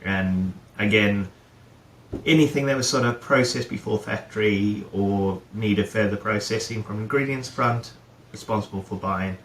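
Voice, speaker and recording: Middle-aged man from New Zealand, low voice, rambling interview guest, echoey room with faint hum. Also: unhurried. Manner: rambling, many fillers and restarts. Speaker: guest, head of procurement. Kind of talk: casual interview chat.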